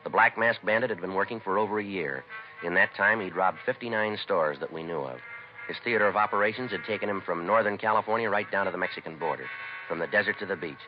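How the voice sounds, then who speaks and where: Male, American, to play a stoic radio-drama cop. Low, rapid, flat and clipped, on dull vintage broadcast sound. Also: soft background music, close to an everyday voice. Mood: matter-of-fact. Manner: deadpan, clipped, matter-of-fact. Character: stoic, businesslike male police sergeant. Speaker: lead actor and narrator of a police radio series. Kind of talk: 1950s radio acting, terse scripted narration. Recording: muffled old radio broadcast recording.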